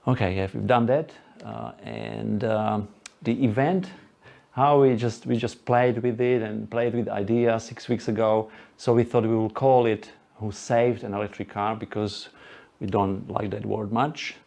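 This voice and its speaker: A man with a Slovak accent giving an informal, chatty talk to a live group, halting now and then for asides. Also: lowish voice, steady tone, creaky, a little mellow. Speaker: presenter at an electric car group event. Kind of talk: live presentation to a small audience.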